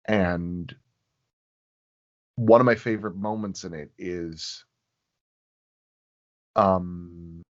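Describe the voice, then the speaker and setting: A man telling stories casually in a conversation, with a reflective, halting way of phrasing things. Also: low-pitched, lo-fi sound. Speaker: podcast guest.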